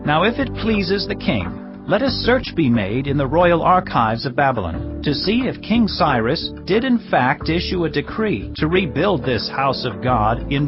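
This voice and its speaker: An actor playing an earnest provincial governor in a dramatised scripture reading, reporting to his king in a formal, deferential, measured way. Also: fairly high pitch, big pitch swings. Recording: dull audio under clear music. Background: music.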